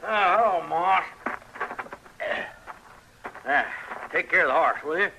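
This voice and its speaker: An actor playing a rough, easygoing frontier townsman in an old radio western, with a rustic American drawl. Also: fairly high pitch, lively intonation, slow pace. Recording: noisy old radio recording.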